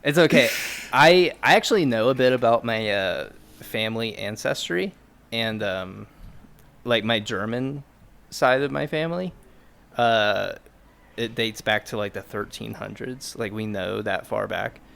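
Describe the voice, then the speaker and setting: A man's voice: on the low side, measured pace, vocal fry, fairly bright tone. A man hosting a podcast in casual, joking chat, teasing and rambling as he goes. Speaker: podcast host.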